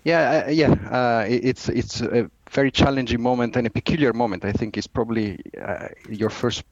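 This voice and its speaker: A middle-aged man with an Italian accent, a finance executive giving a podcast interview, talking haltingly with many fillers and restarts. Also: medium pitch, dry recording, lo-fi sound. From Italy.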